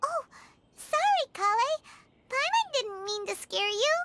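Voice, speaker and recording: A woman voicing a tiny, excitable childlike fairy companion in an anime video game, squeaky and exclamatory, speaking of herself in the third person. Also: unhurried, heavy background noise, full character voice. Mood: curious, concerned. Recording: music playing behind.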